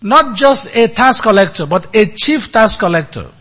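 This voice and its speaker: A man giving a sermon as a priest, speaking in short, deliberate phrases with many pauses. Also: high voice, expressive, bright, forceful tone.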